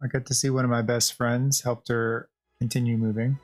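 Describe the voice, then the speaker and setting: Male game streamer, average register, relaxed casual chat over faint music. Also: mid-range voice, normal speed. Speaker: livestream host playing a game.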